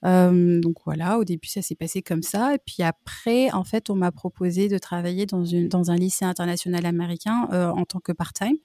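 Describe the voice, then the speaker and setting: A French woman in her thirties, a French teacher, telling her story in a relaxed interview with many pauses and fillers. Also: on the low side, no echo, studio quality. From France. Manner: hesitant, many fillers and restarts. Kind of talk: casual interview chat.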